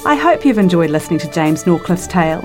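Casual chat, woman host, lowish voice, gushing, trails off a lot, faint music under. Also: very animated, quick pace, a little mellow. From New Zealand. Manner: chatty, effusive, trailing sentences with tag questions. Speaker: podcast host and interviewer. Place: home interview.

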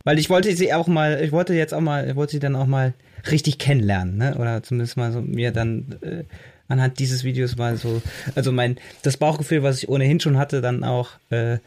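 A man chatting casually on a podcast as a co-host, with hesitant, halting phrasing. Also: medium pitch, steady tone, darkish tone. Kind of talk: casual chat.

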